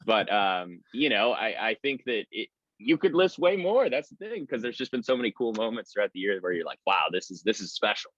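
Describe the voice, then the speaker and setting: Deep voiced guy, chatty sports announcer being interviewed, kinda muffled lo-fi audio, rambles a bit. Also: lively intonation, brisk, fairly bright tone. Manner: talkative, discursive. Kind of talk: conversational sports analysis. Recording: dull, lo-fi, roomy sound.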